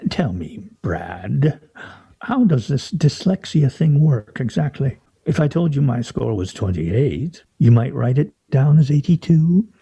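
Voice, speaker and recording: A pompous, sardonic man played by a male actor, very dark and soft in tone, with a husky edge. He declaims ornately, swinging widely in pitch like a stage orator in a radio drama. The recording is somewhat muffled. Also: very animated, slow pace, exaggerated voice. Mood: needling. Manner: grandiose, mock-serious, ornate diction. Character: pompous, sardonic, theatrical man at a scrabble game. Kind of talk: radio play acting.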